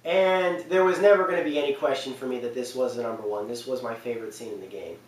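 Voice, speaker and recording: A man's voice: medium pitch, fast. A man giving casual, enthusiastic but hesitant gaming commentary, full of fillers and restarts. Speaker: gaming video creator. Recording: roomy distant mic with faint hiss.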